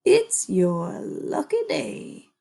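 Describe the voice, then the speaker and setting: Storybook villain female reader: sly coyote, falsely friendly, slow husky drawl, snarling edge. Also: medium pitch, huge pitch swings, warm tone, heavily acted. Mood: sly, coaxing. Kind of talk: animated children's storybook reading.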